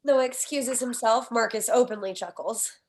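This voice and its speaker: A woman's voice: fairly high pitch, normal speed, a little mellow. A woman reading stage directions in scripted screenplay narration.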